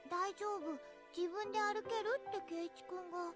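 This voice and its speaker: A very high, sugary teenage girl, talking fast in a soft, sing-song way that hides something quietly unsettling. Loud game music plays under her lines. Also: steady tone. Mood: gentle. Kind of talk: Japanese visual novel voice acting.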